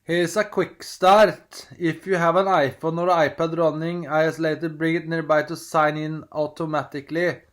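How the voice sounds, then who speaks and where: A man's voice: fairly high pitch, flat delivery, moderate pace, few pauses. A man from Norway with a Norwegian accent, chatting casually at home while unboxing, rambling and hesitant with many restarts, reading screen prompts aloud. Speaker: vlogger.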